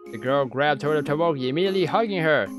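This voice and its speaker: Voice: mid-range voice, expressive, fast. A let's play host of unclear sex, reading a visual novel aloud with casual, musing commentary. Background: game music.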